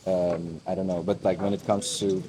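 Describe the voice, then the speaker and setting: A man's voice: low-pitched, level tone, moderate pace. A young man from Turkey, a native Turkish speaker, musing in a casual outdoor conversation, rambling with many fillers and restarts. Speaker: host. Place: outdoors in a busy mountain village. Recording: crowd noise behind.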